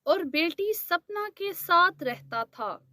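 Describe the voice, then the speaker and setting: A woman's voice: very high-pitched, animated, slow, pushed and bright, no echo. A woman narrating a scripted children's fairy tale as its storyteller.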